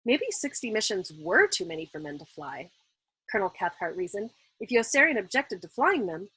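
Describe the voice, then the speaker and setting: A woman reading a novel aloud in an expressive, dramatic audiobook style, as the host of a storytime. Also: mid-range voice, normal speed, breathy edge, rough recording.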